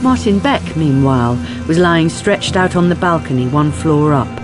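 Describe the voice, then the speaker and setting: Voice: mid-range voice, expressive, normal speed, natural voice. A performer narrating a police thriller in scripted dramatic radio style. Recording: music under the voice. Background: music.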